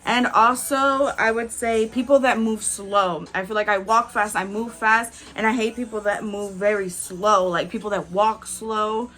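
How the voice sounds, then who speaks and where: A woman in her twenties chatting candidly as a beauty vlogger while doing her makeup, with frequent "like"s and soft laughs. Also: medium pitch, fairly even intonation, a little slow, hardly any pauses. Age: 20s.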